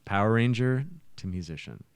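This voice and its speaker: A man's voice: lowish voice, animated, moderate pace, vocal fry, clear, resonant tone, dry recording. A man who hosts a podcast, talking casually and at length in an anecdotal way, often restarting his phrases. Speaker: podcast host and musician.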